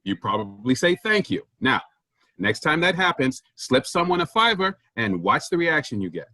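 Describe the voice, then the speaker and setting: Actor as male office boss, pompous, preachy, very animated pitch, dry close sound, staged comedy reading. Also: moderate pace, dry recording. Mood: dismissive. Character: pushy, self-important male office boss obsessed with efficiency.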